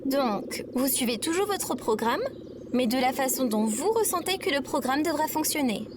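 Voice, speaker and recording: A woman voices an earnest AI droid in an audio drama, inquisitive and precise in wording. The voice sits high, with loud birdsong and animal ambience around it. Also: animated, normal speed, noisy background. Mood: questioning. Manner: formal, literal, inquisitive. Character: earnest female AI companion droid, frustrated and questioning. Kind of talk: audio fiction acting.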